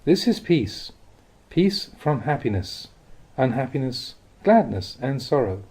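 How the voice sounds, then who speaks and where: Mellow man reading spiritual teachings, expressive intonation. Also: medium pitch, husky. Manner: measured, instructive delivery with deliberate phrasing. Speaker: reader of Buddhist teachings. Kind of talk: scripted narration, audiobook reading of spiritual teachings.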